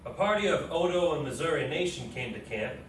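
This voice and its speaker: A man's voice: a little high, animated, a little fast, a bit bright. A man playing William Clark, a practical army officer and explorer in his thirties, reading journal entries aloud in a stage reading. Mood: matter-of-fact. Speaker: local stage actor. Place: live readers theatre performance in an echoey indoor space. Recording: very echoey room, distant mic, faint noise.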